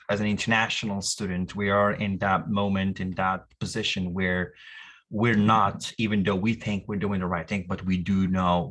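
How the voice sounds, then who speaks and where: A man's voice: low-pitched, a bit bright. A man from Morocco, presenting in a webinar over a video call, earnest and encouraging, with many "uh" fillers. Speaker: university admissions representative.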